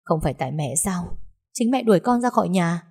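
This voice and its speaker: A woman voicing an angry grown daughter who talks back with shrill, accusing, tearful words. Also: animated, fairly quick, darkish tone, husky. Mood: resentful. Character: angry grown daughter, sharp-tongued and bitter.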